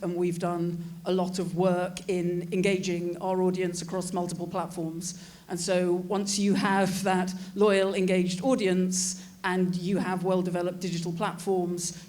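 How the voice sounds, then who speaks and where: A woman executive speaking on a conference panel in a measured, businesslike way. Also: on the low side, darkish tone. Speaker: esports team executive. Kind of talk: panel discussion.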